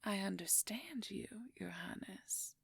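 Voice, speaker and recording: A woman playing a smug, possessive royal werewolf schemer in an audio roleplay, silky and condescending, with a husky, breathy, creaky near-whisper. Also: medium pitch, lively intonation, no echo, heavily acted. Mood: smug, courting. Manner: silky, condescending, slow and deliberate. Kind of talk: audio roleplay acting, addressing the listener.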